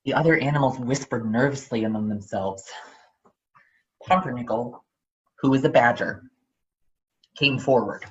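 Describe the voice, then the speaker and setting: A performer reading books aloud in a deliberate, explanatory storytelling manner. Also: mid-range voice, lo-fi sound.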